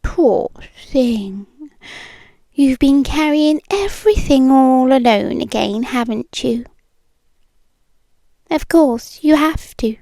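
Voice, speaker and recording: A possessive British yandere ex-girlfriend, acted by a woman in an intimate ASMR roleplay. Her high voice moves extremely slowly and deliberately, often slipping toward a whisper. She sounds eerily calm and condescending, cooing with quiet menace, close and dry on the microphone. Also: gravelly, no echo, full character voice. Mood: pitying, possessive.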